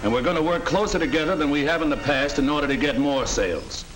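An actor playing an earnest middle-aged American sales manager in a 1940 training film, speaking in a firm, instructive way. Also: male voice, on the high side, fast, music in the background, lightly acted. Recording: noisy old 1940 film soundtrack.

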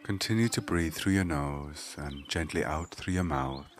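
A man leading a guided meditation, giving gentle, measured spoken instructions. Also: rumbling low, faint music behind.

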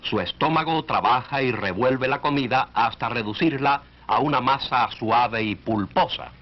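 A man's voice: medium pitch, moderate pace. A man narrating a 1940s educational film in an instructive way, speaking directly to the listener. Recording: dull, band-limited 1940s film soundtrack.

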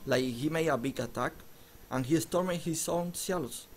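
A man's voice: a little high, normal speed. A man casting a strategy game match in casual commentary, joking and often restarting his words. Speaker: esports commentator.

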